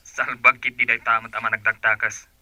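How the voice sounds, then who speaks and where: A man's voice: medium pitch, flat delivery, normal speed, bright, forceful tone, no echo. An actor playing a suspicious, mocking man in a radio drama. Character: suspicious, mocking man grilling the household help.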